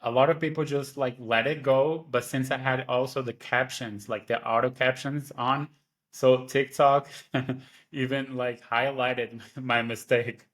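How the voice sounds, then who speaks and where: A man's voice: mid-range voice, steady tone, unhurried, fairly bright tone. A man from Brazil whose first language is Portuguese, speaking with a Portuguese accent, chatting as a guest over a video call with many restarts and fillers. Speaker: guest, online seller. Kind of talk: casual interview chat.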